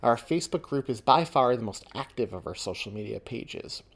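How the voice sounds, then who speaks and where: A man's voice: medium pitch, expressive, moderate pace, creaky. A man hosting a podcast, giving chatty announcements and plugs to listeners. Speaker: podcast host.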